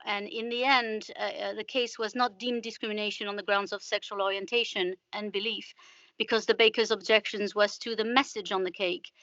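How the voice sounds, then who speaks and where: Woman interviewer with a bright, muffled sound. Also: medium pitch, flat delivery, a little fast, dry recording. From United Kingdom resident. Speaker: interviewer, podcast host. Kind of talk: podcast interview.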